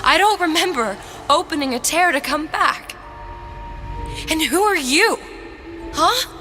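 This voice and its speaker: A woman's voice: high voice, animated, a little slow, bright, forceful tone, faint music behind, heavily acted. A woman playing an anguished, determined young heroine in a dramatic video game. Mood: troubled, searching.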